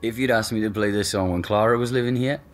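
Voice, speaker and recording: A casual young man, played by an actor, talks in a very low, easygoing voice. He is wry and a bit tactless, tossing off jokes in an audio drama. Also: fast talker, music in the background, close to an everyday voice. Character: young man, offhand joking former flatmate.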